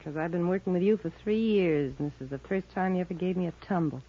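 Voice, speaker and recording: An actress with an American accent playing a brazen, flirtatious band singer in a 1950s radio drama, teasing and slangy. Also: female voice, lowish voice, quick pace, slight background noise, lightly acted. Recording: dull, lo-fi 1950s radio recording.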